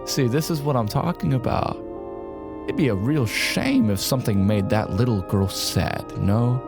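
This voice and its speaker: A villainous colonel in an audio drama, commanding and taunting. His pitch swings widely from line to line, and music plays behind him. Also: expressive, normal speed, gravelly. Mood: menacing, taunting. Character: menacing colonel villain holding hostages at gunpoint.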